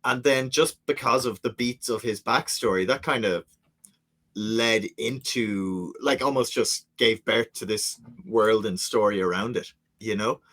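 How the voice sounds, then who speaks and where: Low-voiced rambling podcast man. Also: unhurried, fairly bright tone. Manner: rambling, many fillers. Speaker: podcast co-creator. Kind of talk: casual chat.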